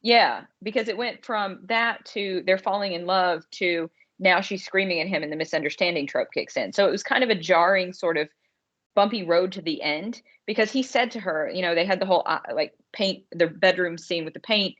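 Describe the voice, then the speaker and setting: A woman's voice: lowish voice, moderate pace, a bit bright. A woman podcast co-host chatting casually about a film, thinking aloud in informal, slangy language. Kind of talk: casual conversational discussion. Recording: slightly dull, lo-fi podcast audio.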